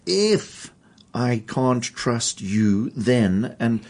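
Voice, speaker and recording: A man's voice: medium pitch, big pitch swings, drawn-out words, darkish tone. A man co-hosting a podcast panel chat, teasing his co-hosts. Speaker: podcast co-host.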